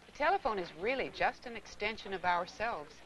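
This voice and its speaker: A middle-aged actress playing a courteous, instructive receptionist in a scripted 1980s training film. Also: female voice, mid-range voice, lively intonation, a little fast, fairly bright tone, slight background noise, close to an everyday voice, rough recording. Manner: polite, clearly instructive. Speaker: receptionist and narrator. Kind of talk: scripted training-film narration and acted dialogue. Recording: 1980s film soundtrack, faint music.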